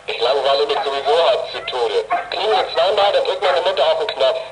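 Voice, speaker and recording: A man's voice: on the high side, animated, a little fast, loud music behind the voice, poor audio. A young male actor playing a bored, blunt teenage radio hobbyist talking over CB radio in a children's audio drama. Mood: blunt, indignant.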